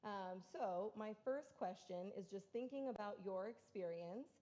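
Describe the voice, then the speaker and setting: Mellow-voiced woman moderating a panel. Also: medium pitch, measured pace. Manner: friendly, organized, with frequent ums. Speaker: panel moderator and college sport researcher. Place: conference room at a university.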